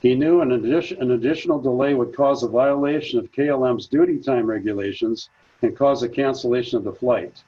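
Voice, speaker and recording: Husky-voiced man giving a prepared talk. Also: medium pitch, warm tone, lo-fi sound. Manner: measured, reads from notes. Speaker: presenter. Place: senior center.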